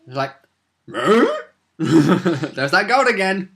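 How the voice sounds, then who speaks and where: A man's voice: high voice, very animated, drawn-out words, gravelly, fairly bright tone. A man talking casually as a player in a tabletop role-playing game. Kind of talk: casual chat.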